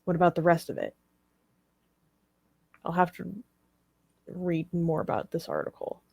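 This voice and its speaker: A woman's voice: fairly low pitch, very animated, measured pace, gravelly, a little mellow. A woman co-hosting a live discussion, reading articles aloud and commenting on them. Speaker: talk show co-host.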